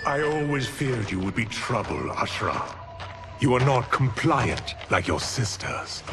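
An actor playing a sinister, imperious sorcerer villain in a video game, menacing, theatrical and condescending. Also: male voice, mid-range voice, big pitch swings, measured pace. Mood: cold contempt. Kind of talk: video game cutscene acting. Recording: loud dramatic music behind.

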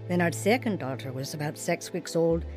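A woman's voice: low voice, expressive, normal speed, darkish tone, soft background music. A woman playing a vulnerable, earnest young wife and mother in a radio drama. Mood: somber, reflective. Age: young adult. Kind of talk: radio drama acting and first-person narration.

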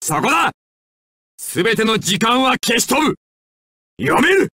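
Menacing anime mafia boss. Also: male voice, extremely high pitch, sing-song, pushed and bright, husky, exaggerated voice. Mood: battle fury. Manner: commanding, theatrical, deliberate. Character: menacing, imperious, secretive male mafia boss villain. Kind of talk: anime and video game voice acting.

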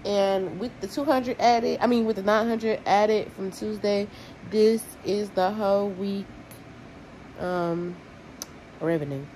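A woman's voice: mid-range voice, fairly even intonation, slow, mellow, slight background noise. Blunt, chatty young woman counting cash. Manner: counting money aloud, chatty and blunt with slang. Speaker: vlogger. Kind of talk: casual vlog talk to camera.

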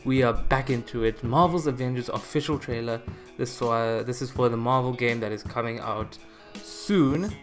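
This is a young man talking casually to his viewers in a reaction video. His pitch sits in the middle of the male range, and he rambles informally, working out his thoughts as he speaks. Music plays lightly behind him. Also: moderate pace. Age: young adult. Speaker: YouTuber reviewing game trailers. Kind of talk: casual reaction commentary to camera. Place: small room at a computer desk, indoors. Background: background beat music.

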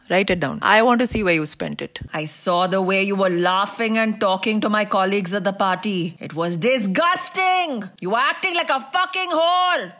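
A woman's voice: fairly high pitch, no room sound, close to an everyday voice. Woman acting a controlling Indian husband, close dry sound. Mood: suspicious, demanding, then furious, accusing. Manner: accusing, demanding, addressing an unheard wife. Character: controlling, belittling, manipulative husband, jealous and volatile. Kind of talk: dramatic monologue.

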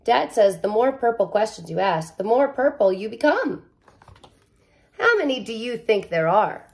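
Animated woman reading aloud to children. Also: a little high, moderate pace. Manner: expressive, playful, emphatic on key words. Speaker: teacher reading a picture book aloud. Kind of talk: animated children's read-aloud with casual asides.

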